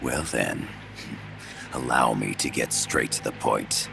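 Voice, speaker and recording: An actor playing a calm, soft-spoken older male professor who is secretly a spy, in a video game. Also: full character voice. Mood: persuasive. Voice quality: very deep and gravelly. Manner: soft-spoken, measured, quietly persuasive. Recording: game cutscene with loud music behind.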